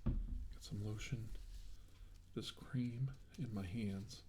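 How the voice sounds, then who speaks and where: A man doing a soft-spoken ASMR roleplay as an examiner, talking in a hushed, reassuring way. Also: fairly low pitch, steady tone, takes it slowly, very noisy. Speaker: ASMR roleplayer acting as examiner treating a client.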